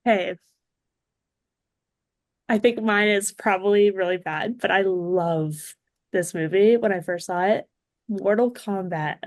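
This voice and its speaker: A woman talking as a podcast guest in a chatty, expressive, casual way. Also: mid-range voice, slow pace, pauses often, no room sound, studio quality.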